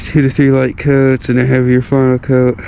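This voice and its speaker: A man's voice: medium pitch, normal speed, creaky, slight background noise. A man, sounding stuffy from a cold, talking casually through a do-it-yourself wheel restoration outdoors. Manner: relaxed, chatty, self-deprecating. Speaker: car DIY vlogger. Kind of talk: casual how-to narration. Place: outdoors while working on wheels.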